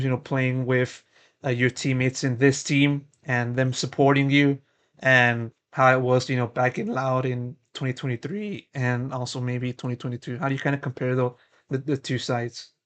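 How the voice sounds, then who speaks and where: Young guy interviewer, rambly questions, you-know every other line, smooth clear voice. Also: mid-range voice, normal speed. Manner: rambling, repeats words, many you-knows. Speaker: esports interviewer.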